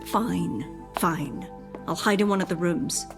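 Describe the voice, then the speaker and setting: An actress playing a self-centred, wheedling and sarcastic wife in an audio drama. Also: female voice, on the low side, slow pace, music in the background. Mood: irritated, sarcastic. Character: self-centred, pushy, sarcastic wife longing for her dog.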